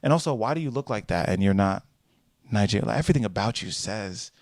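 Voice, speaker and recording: A young American man talks through a solo commentary in a slightly low voice, rambling from point to point and leaping up into high mocking tones when he quotes others. The sound is close and dry, with no room in it. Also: animated, fast talker, darkish tone, dry recording. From United States. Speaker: podcast host.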